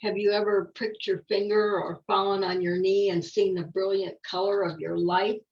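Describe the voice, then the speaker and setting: An older woman reading a picture book aloud, unhurried, with casual explanatory asides. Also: mid-range voice, little pitch movement, darkish tone. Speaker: children's story reader. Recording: dull, muffled lo-fi sound.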